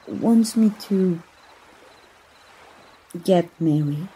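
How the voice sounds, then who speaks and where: A woman from Mexico voicing a gentle, romantic young princess in an ASMR roleplay, soft and breathy, with a hesitant, earnest manner. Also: fairly low pitch, heavily acted, low-quality recording. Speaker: amateur voice actor.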